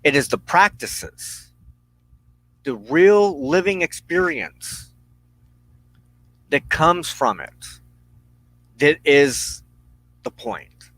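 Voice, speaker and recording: A man hosting a personal spiritual talk, speaking in deliberate, halting phrases with firm emphasis. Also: a little high, big pitch swings, a bit bright, no room sound, low-quality recording. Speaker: host of a weekly witchcraft broadcast.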